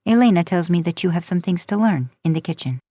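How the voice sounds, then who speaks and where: A woman voicing a kind, firm woman who gently instructs in a story reading. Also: fairly low pitch, animated, quick pace, no room sound. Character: kind, firm Spanish-speaking older woman helping in kitchen.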